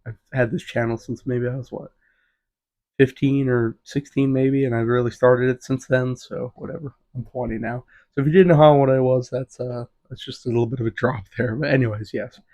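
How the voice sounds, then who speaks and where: Young male podcast host, 20, fast and rambling, filler-heavy solo chat. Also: mid-range voice, steady tone, dark tone. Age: 20s. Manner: rambling, many fillers like "you know" and "um".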